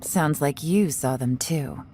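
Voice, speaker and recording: A woman's voice: deep voice, takes it slowly, mellow. An actress playing a shrewd, skeptical woman adventurer in a video game, with a self-assured, sardonic delivery. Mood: cool, watchful. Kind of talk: dramatic video game voice acting. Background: faint game soundtrack music.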